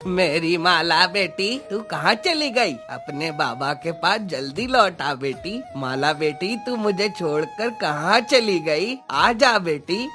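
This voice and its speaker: A man's voice: sits high, lively intonation, slow pace, music in the background. A man voicing a grief-broken landlord father in a cartoon moral story, lamenting in mournful tones. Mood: grieving.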